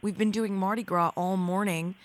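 A woman's voice: mid-range voice, steady tone, moderate pace. Chatty woman radio host. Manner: warm, complimentary, with frequent fillers. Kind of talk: radio interview. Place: radio studio.

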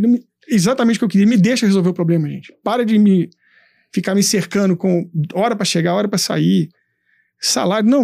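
A man telling his business story in a casual interview, animated, swearing and acting out his past thoughts. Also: high-pitched, vocal fry, no room sound, studio quality. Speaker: entrepreneur guest.

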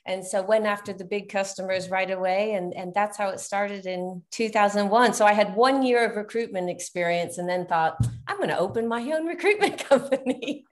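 A middle-aged woman with an American accent, a business founder guest on a podcast call, telling her story in an animated, chatty way. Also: medium pitch, moderate pace, hardly any pauses. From United States. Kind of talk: casual interview over a call.

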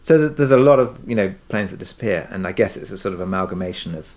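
A man's voice: sits low, expressive, fast, darkish tone. A man interviewed as a writer, speaking reflectively and tentatively as he searches for his words. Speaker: interviewed writer of an audio drama.

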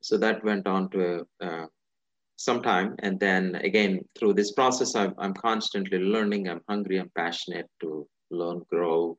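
A man from India with an Indian accent, answering interview questions about himself in halting, fragmented phrases. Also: deep voice, steady tone, no echo, muffled recording. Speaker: interview guest, business executive.

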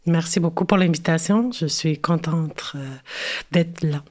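Mexican accent lady, slow and hesitant, lots of pauses. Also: low voice, a little mellow. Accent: Mexican Spanish. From Mexico City. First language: Spanish. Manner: hesitant, many pauses and "euh" fillers, restarts. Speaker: guest, cultural worker and curator. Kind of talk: interview answers.

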